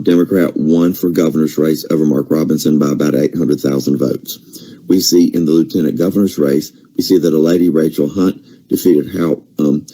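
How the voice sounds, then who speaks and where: A man whose voice is wearing out reels off vote counts and keeps asking if it makes sense. His voice is extremely deep and very dark. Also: rough recording. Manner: incredulous, rhetorical, lists numbers. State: losing his voice. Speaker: political commentator. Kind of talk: casual commentary.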